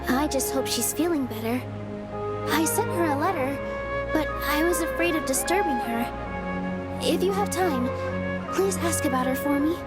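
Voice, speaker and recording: High, sweet young dancer girl played by a woman. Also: normal speed, pauses often, lightly acted. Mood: earnest. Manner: sweet, earnest, polite. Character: cheerful, modest young woman, a beloved dancer. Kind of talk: video game voice acting. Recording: loud game music behind. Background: game music.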